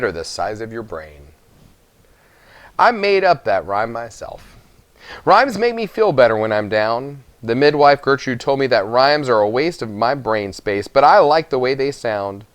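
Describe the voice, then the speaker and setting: A man's voice: mid-range voice, dry recording. A man narrating a children's book expressively as a story reader.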